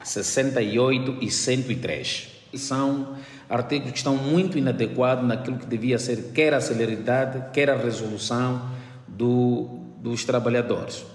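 A man with an Angolan accent giving an interview statement as a trade unionist, halting and repeating words. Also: mid-range voice. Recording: echoey reverberant room.

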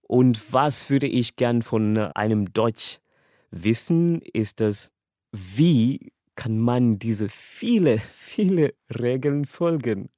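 A man's voice: medium pitch, lively intonation, slow, warm tone, dry recording, studio quality. A young man from Kenya, a language student whose first languages are English and Swahili, giving a casual, earnest personal account and laughing lightly between thoughts.

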